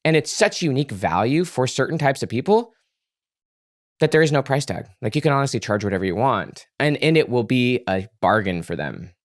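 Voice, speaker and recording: Male podcast guest, average pitch, conversational expert explainer, earnest and emphatic, dry sound. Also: lively intonation, a little fast, no room sound. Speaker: podcast guest, author and psychologist.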